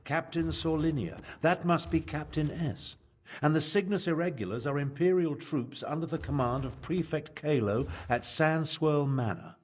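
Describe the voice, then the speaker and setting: An actor playing a haughty, scholarly battlemage in a fantasy video game, with a dry, pompous, deliberate delivery. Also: male voice, fairly high pitch, husky. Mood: scornful, intrigued. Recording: long hall reverb.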